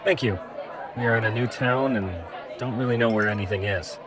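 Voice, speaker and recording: A man playing a large mechanical adventurer, polite and calm, in an audio drama. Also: mid-range voice, a little fast, a crowd in the background, close to an everyday voice.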